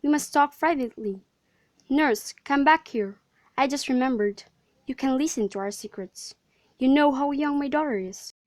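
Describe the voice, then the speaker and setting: A woman's voice: high voice, normal speed, no echo, lightly acted. A woman playing an impatient, commanding noble mother in an amateur radio drama.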